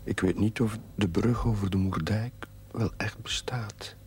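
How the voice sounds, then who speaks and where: An actor playing a pensive, wistful man looking back in doubt, narrating a television drama in a breathy half-whisper. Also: fairly low pitch, steady tone, measured pace, darkish tone, slight background noise, lightly acted. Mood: wistful, brooding. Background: music.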